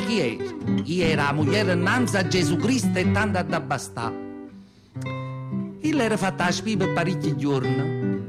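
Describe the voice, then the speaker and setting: Vecchia napoletana, voce acuta. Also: male voice, high-pitched. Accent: Neapolitan. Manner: expressive, colloquial dialect storytelling. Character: earthy old Southern Italian woman, nostalgic, feisty storyteller. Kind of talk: theatrical radio monologue, storytelling in dialect. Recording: prominent acoustic guitar music behind.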